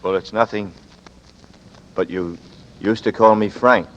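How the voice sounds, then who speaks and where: A deep-voiced, bewildered radio hero. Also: male voice, steady tone, fairly bright tone, slight background noise. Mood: uneasy. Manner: hesitant, halting speech. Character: bewildered man drawn into danger. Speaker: first-person narrator and lead. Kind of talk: 1940s radio drama acting and narration. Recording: dull lo-fi old radio broadcast. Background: music score.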